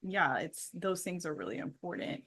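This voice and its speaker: A woman's voice: fairly low pitch, lively intonation, normal speed, a bit bright. A woman co-hosting a podcast with her husband, talking casually and agreeably and often affirming him with short "yeah"s. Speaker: podcast co-host.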